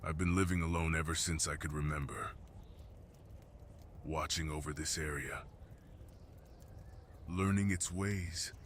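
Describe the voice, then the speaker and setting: Casting: male actor as gentle, lonely mountain guardian beast for ASMR fantasy roleplay; very low register, solemn and reassuring, some background ambience. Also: steady tone, a little slow, pauses often, a little hiss or hum. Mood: solemn, reverent. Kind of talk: ASMR fantasy roleplay, slow intimate monologue.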